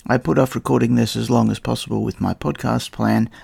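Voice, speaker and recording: A male podcast host reads a scripted narration with a heavily gravelly, fry-laden voice. He sounds slightly croaky and a little husky. His delivery is measured and evocative, like someone telling a story. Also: medium pitch, fairly even intonation, a little mellow. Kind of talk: scripted narration on history and tabletop role-playing.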